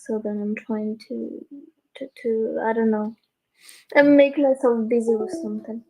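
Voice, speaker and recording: A woman's voice: fairly high pitch, little pitch movement, unhurried, darkish tone, poor audio. A woman chatting on an online call, hesitant and listless, leaning on fillers like "like" and "I don't know.". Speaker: online chat partner. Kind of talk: casual chat.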